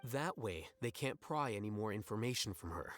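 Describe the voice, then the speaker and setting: Man acting a low-voiced calculating scholar. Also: expressive, soft background music, lightly acted. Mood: composed. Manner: measured, articulate, explanatory. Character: calm, calculating male scholar strategist. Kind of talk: video game voice acting.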